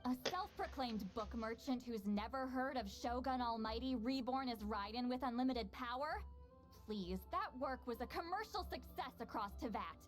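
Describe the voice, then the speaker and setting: A woman's voice: fairly high pitch, animated, moderate pace, soft background music, natural voice. A woman voicing a cocky, sardonic young female expert in a video game, dry, confident and teasing. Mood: smug, challenging.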